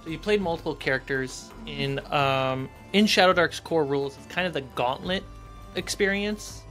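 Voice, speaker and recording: Low-voiced woman hosting a casual chat. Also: normal speed, a bit bright, soft background music. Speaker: host interviewing a player. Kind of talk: casual conversational interview.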